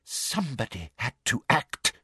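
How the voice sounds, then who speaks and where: A man voicing a prim, cruel middle-aged woman official in a story, with a breathy, agitated, self-justifying manner. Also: high-pitched, big pitch swings, measured pace, dry recording, studio quality, voice pushed up, exaggerated voice. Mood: quiet menace.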